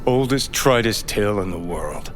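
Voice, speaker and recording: An actor playing a brash, cynical, impatient rocker in a cyberpunk video game, curt and swaggering. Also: male voice, on the low side, expressive, normal speed, lightly acted. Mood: scornful, determined. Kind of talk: video game drama acting. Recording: game audio with music behind.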